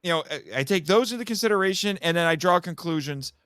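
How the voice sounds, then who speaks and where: A man's voice: high-pitched, lively intonation, fast, a bit bright, clear, resonant tone, no room sound, clean studio sound. A man in a casual film discussion, speaking emphatically and with strong opinions, often repeating and rephrasing his points. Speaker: podcast guest, film commentator.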